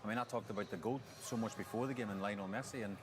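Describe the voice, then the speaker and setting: A man's voice: fairly low pitch, very fast. A man giving emphatic, repetitive live football analysis as a pundit. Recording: stadium crowd behind.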